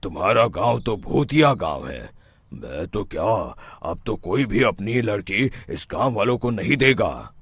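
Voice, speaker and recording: A man's voice: on the low side, sing-song, unhurried, fairly bright tone, breathy edge, no room sound, exaggerated voice. A man voicing a stern older father-in-law in a cartoon, speaking in a dismissive tone. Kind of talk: cartoon voice acting.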